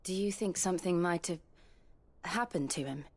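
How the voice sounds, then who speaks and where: A woman's voice: on the low side, moderate pace, darkish tone, lightly acted. An actress playing a composed, courteous young noble lady in a fantasy video game, polite and well-spoken. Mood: concerned, purposeful.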